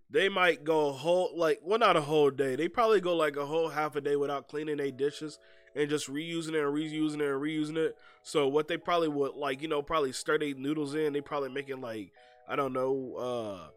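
A man's voice: fairly high pitch, a bit bright. A young man hosting a casual podcast chat with a stuffy, sniffly nose, rambling laid-back and circling back to rephrase himself. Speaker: podcast host.

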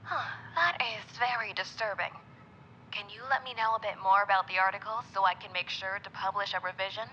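A woman's voice: mid-range voice, a little fast, bright, forceful tone. A woman playing a courteous, professional newspaper editor through a telephone filter in an audio drama. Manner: polite, professional. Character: courteous female newspaper editor answering phones. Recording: noisy, dull telephone line.

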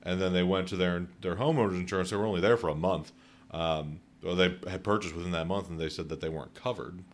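A man's voice: low voice, fairly even intonation, fast. A man hosting a casual interview podcast, a realtor who asks questions with upbeat affirmations.

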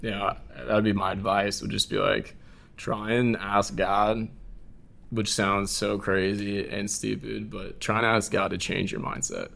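Low, gravelly man giving earnest advice. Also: level tone, a little slow, fairly bright tone. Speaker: guest. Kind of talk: casual advice in a faith podcast.

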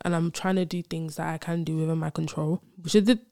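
A woman's voice: low voice, flat delivery, fast, creaky, dark tone, dry recording, studio quality. A woman, hosting a casual solo podcast and telling stories in a chatty, slangy way. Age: 20s. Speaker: podcast host.

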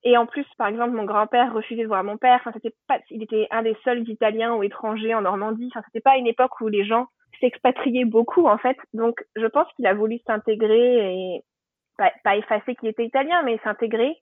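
A woman from France tells her story in a loose, rambling way, with plenty of fillers and restarts. Her voice sits a little high and the sound is tinny and narrow, like a phone line. The room gives off no echo at all. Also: fairly even intonation, a little fast. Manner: chatty, warm storytelling with frequent fillers and restarts. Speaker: guest. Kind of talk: casual podcast conversation.

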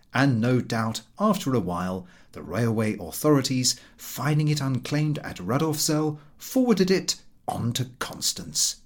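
A man narrating a comic travel story as an audiobook reader, in a wry, measured and expressive way. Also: mid-range voice.

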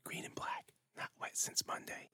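A man's voice: moderate pace, bright, forceful tone, dry recording, clean studio sound. Man acting a whispering, withdrawn little girl. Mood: withdrawn, secretive. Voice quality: unvoiced whisper.

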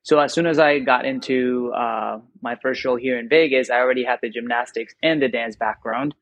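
A friendly man in his thirties from Puerto Rico, chatting easily about his career as a podcast guest. His voice sits at a middle pitch, and the recording is dry and close. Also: a little fast, no echo. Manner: friendly, relaxed, laughs easily. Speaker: guest, circus performer. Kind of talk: casual interview chat.

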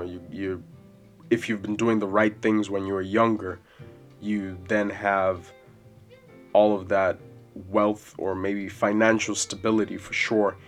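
A man in his twenties hosting a solo podcast, rambling casually and swearing freely in a loud voice with bass. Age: 20s. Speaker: podcast host. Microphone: podcast mic. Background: soft jazz music.

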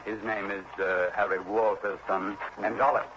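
An actor playing an earnest, fretful insurance executive in a 1960s radio drama, wordy and hesitant, on a muffled, noisy old recording. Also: male voice, on the low side, level tone, normal speed, close to an everyday voice. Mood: explaining, proud. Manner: hesitant, wordy, emphatic repetition.

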